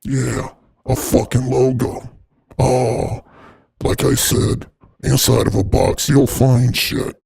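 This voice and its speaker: A man narrates an unboxing with heavy creak and a husky rasp, his voice run through a voice modifier. He is casual and irreverent, and swears freely. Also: medium pitch, level tone, takes it slowly, warm tone. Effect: voice changer processing. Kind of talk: casual scripted product unboxing voice-over.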